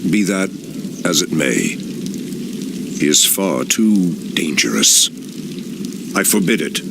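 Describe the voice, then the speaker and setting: A man's voice: sits low, big pitch swings, takes it slowly, creaky, noisy background, heavily acted. An actor playing a stern, noble male elf druid leader who speaks in a grave, commanding way.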